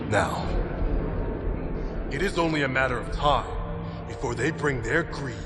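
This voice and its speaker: A man's voice: medium pitch, unhurried, close to an everyday voice. A man playing a commanding clan leader who gives a declamatory, rousing speech to fellow rulers. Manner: declamatory, swelling to shouts. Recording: echoey hall with loud music. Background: loud music.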